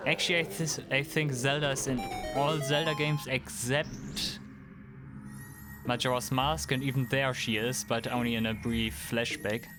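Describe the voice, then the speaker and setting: A man's voice: medium pitch, measured pace. German man streaming a game, music behind. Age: 30s. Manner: relaxed, answers chat questions, chuckles. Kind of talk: casual livestream commentary.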